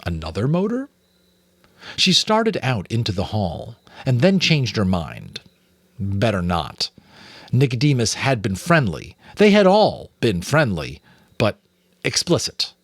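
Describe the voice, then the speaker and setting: A man's voice: medium pitch, wildly swooping pitch, unhurried, breathy edge, no echo. A man with an American accent, reading a story aloud in dramatic audiobook narration.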